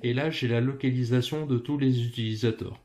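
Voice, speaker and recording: A man's voice: medium pitch, fairly even intonation, brisk, crowd noise. Hesitant French man walking through an app. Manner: informal, addresses viewer as tu, many hesitations. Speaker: app tutorial presenter. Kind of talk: casual screen walkthrough.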